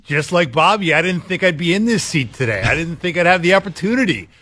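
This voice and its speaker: Joking male panelist, bright forceful tone, slightly high, dry studio sound. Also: expressive, fast. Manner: joking, self-mocking. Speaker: newspaper columnist, radio panelist. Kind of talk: sports talk radio.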